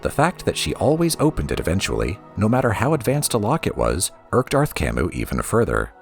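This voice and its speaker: A man reading a story aloud as a storybook narrator, with a theatrical, expressive delivery. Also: lowish voice, a little fast, vocal fry, faint music behind. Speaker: lore reader for a gaming let's play.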